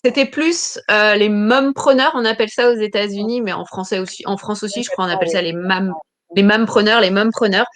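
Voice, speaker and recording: A woman in her thirties, a business coach talking about her career in an interview, pausing often with fillers and restarting phrases. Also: medium pitch. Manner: hesitant, many fillers and restarts. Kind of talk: interview answers.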